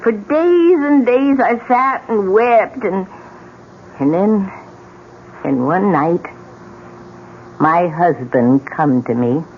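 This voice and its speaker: An elderly, embittered widow who needles and warns like a storyteller of doom. Her delivery swings widely in pitch, in old-time radio style. The recording is dull, with clear background noise. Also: expressive, slow pace, long pauses. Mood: ominous, dramatic. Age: older. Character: bitter, meddling old widow in a wheelchair, ominous. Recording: muffled, noisy vintage radio recording.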